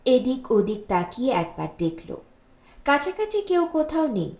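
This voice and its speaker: A woman reading a literary story as an audiobook narrator, in an expressive storytelling style. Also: high-pitched, measured pace. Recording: roomy, echoing voice recording.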